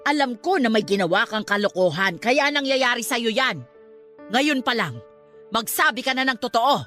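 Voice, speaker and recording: Radio drama aunt: stern, scolding, high-pitched, very animated, faint music behind. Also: female voice, normal speed. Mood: accusing.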